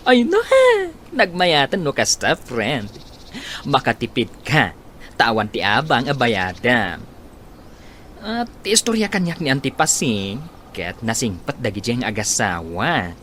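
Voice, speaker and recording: A woman's voice: rumbling low, huge pitch swings, a little slow, a bit bright, slight background noise, full character voice. A woman playing a chatty, gossipy, supportive friend in a radio drama, full of exclamations. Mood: gossipy, encouraging. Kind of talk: Philippine radio drama acting.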